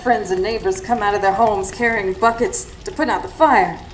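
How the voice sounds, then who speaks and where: A woman's voice: medium pitch, fairly even intonation, normal speed, close to an everyday voice, poor audio. An actress playing a devoted, tough young woman who narrates a radio drama. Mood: reflective. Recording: live stage recording, faint background noise.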